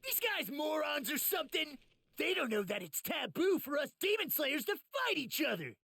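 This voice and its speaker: A man voicing a brash, hot-headed young fighter in an anime game, with a gravelly, raspy voice and a mocking, cocky delivery. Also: extremely high pitch, very animated, moderate pace, sharp tone, exaggerated voice. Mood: brash, aggressive. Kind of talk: anime game cutscene acting.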